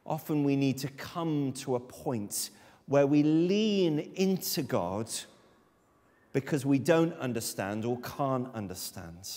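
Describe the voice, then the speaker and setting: A man's voice: medium pitch, animated, takes it slowly, mellow. Guy in his 30s giving a sermon, emphatic, church echo, mic a bit far. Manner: earnest, emphatic, gesturing with his arms. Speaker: preacher. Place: church sanctuary, speaking at a lectern. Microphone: far camera. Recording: long church reverb.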